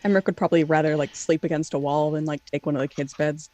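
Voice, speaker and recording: A woman's voice: low-pitched, steady tone, quick pace, warm tone, a little hiss or hum. A woman playing a tabletop game and chatting casually. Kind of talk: casual chat.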